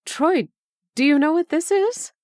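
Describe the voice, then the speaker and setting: A woman playing a gentle, puzzled mother who tenderly questions and coaxes her young son, in old-time radio drama style. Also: sits high, expressive, a little slow, no room sound, studio quality. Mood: puzzled, concerned.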